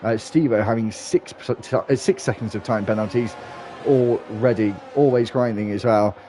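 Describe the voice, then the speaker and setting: A man giving live commentary on an online sim race, talking in a steady running flow with small restarts and sounding a bit stuffy from hay fever. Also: on the low side, moderate pace, slight background noise.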